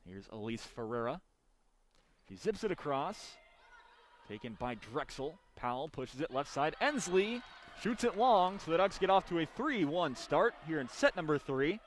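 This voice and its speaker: A young man calls volleyball live for radio in a slightly high voice. His calls are punchy and clipped, swelling on the big plays. Faint arena noise sits behind him. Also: sing-song, moderate pace. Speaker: radio play-by-play announcer. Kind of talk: live volleyball play-by-play commentary. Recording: background noise of a sports arena. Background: arena ambience.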